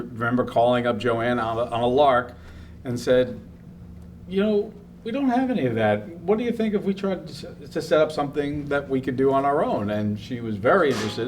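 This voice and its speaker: Medium-pitched man, slightly distant from the mic. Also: a little mellow. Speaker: theater festival co-founder being interviewed. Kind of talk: conversational recollection for a documentary. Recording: distant mic with background noise.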